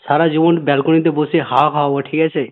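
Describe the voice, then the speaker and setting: A man's voice: on the high side, little pitch movement, rapid-fire. A man sweet-talking and coaxing on a prank phone call, often checking in with the listener. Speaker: prank caller. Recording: dull lo-fi call recording.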